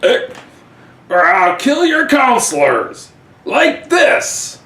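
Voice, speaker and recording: A man's voice: very high-pitched, wildly swooping pitch, very slow, a bit bright, voice pushed up, full character voice. Man doing a drunken comic-book dwarf, roomy. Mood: threatening. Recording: roomy, distant mic, faint noise.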